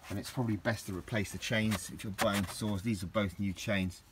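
Rambling man, low voice, outdoors. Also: fairly even intonation, moderate pace, background noise. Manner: rambling, with many restarts and fillers. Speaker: DIY vlogger. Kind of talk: casual hands-on demonstration. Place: outdoors in a garden.

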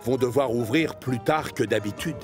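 A man's voice: medium pitch, moderate pace, loud music behind the voice. A man narrating a scripted children's story.

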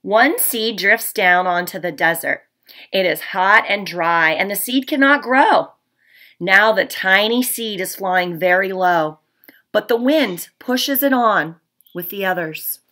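A woman's voice: mid-range voice, takes it slowly. A woman in her thirties, a teacher, reading a picture book aloud expressively for young children at home, recorded on a laptop webcam. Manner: gentle, expressive storybook reading. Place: home room, indoors.

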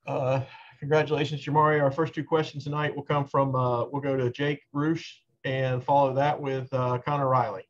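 A middle-aged man with a mid-pitched voice briskly calls on reporters one by one. His audio comes through a dull, lo-fi video call from an office. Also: flat delivery. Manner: brisk, businesslike. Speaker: press conference moderator. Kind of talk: calling on reporters. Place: office, indoors.

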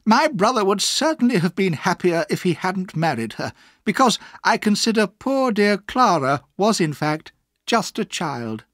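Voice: medium pitch, big pitch swings, a little slow, no room sound, studio quality, pitched above the performer's own voice. A narrator voicing a falsely sweet older woman, a disapproving villainess in a storybook reading, prim and condescending.

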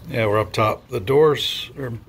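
A man giving a relaxed, casual walkthrough of a boat he is looking at, thinking aloud as he goes, recorded outdoors in a marina on a camera mic. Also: medium pitch, moderate pace, noisy background. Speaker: boat owner touring a yacht.

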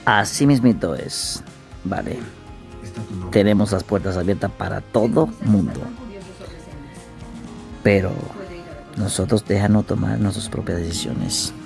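Gravelly low man commenting casually. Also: unhurried, long pauses, a little mellow, faint music behind. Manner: chatty, addresses viewers. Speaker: reaction streamer.

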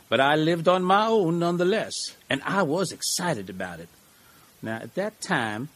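Man acting folksy, animated small-town lawyer, husky voice. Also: medium pitch. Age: middle-aged. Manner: folksy, rambling, deliberate storyteller. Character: genial, eccentric, folksy small-town defense lawyer, middle-aged man. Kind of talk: audio drama acting.

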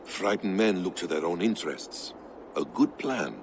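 A man voicing a calm, shrewd warrior adviser in a video game, measured and composed. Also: low voice, background noise. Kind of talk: dramatic video game acting.

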